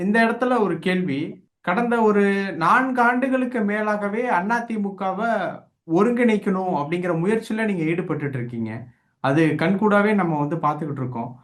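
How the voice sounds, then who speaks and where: Male interviewer, Tamil Nadu accent, high voice, polite and conversational political questioning. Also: normal speed. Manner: polite, long explanatory questions.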